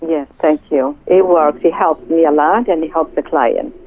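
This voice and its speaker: Earnest Greek-accented woman on the phone. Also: low-pitched. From Greece. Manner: earnest, halting, with many fillers. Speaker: guest, Reiki healer. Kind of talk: interview over the phone. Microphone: telephone. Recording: tinny dry phone line.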